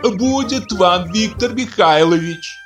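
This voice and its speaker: A man's voice: a little high, expressive, moderate pace, over music, pitched above the performer's own voice, lightly acted. A man voicing an anxious, sentimental middle-aged woman fortune-teller who pleads in a fluttery way. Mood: pleading.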